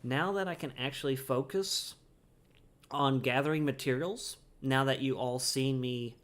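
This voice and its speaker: A man's voice: medium pitch, measured pace, fairly bright tone. A man giving casual, rambling livestream commentary over a game in a playful, chatty way. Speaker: game streamer.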